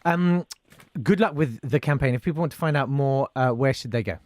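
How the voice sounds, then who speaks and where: A man hosting a casual radio interview, halting and stammering over the starts of his words. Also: mid-range voice, a little mellow, no room sound, studio quality. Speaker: radio host interviewing a guest.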